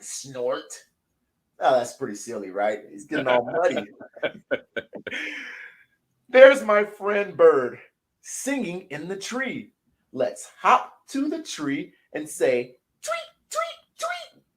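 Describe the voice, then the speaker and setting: A man voicing a sick, stuffy-nosed little horsefly who is gentle and sweet, reading a children's picture book aloud in a hoarse, sniffly voice. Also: a little high, exaggerated voice.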